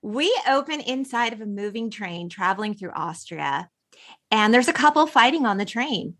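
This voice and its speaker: Female podcast host, middle-aged, medium-pitched, chatty film discussion with reflective enthusiasm. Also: expressive, moderate pace, fairly bright tone.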